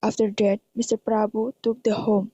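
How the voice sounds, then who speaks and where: A woman's voice: a little high, little pitch movement, normal speed, dark tone, no echo, lo-fi sound. A young woman with an Indonesian accent reading scripted horror drama narration in non-native English. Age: young adult.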